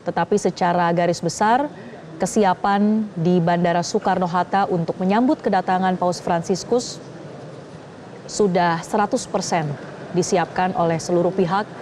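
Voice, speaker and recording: A woman with an Indonesian accent anchoring live breaking news in a formal, measured way. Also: lowish voice, steady tone, darkish tone, slight background noise.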